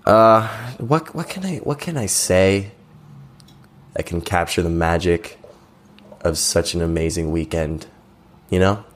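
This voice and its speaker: A man's voice: low-pitched, measured pace, long pauses. A young man co-hosting a podcast, telling festival stories in a laid-back, joking way, his voice a bit strained. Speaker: podcast co-host. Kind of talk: casual chat.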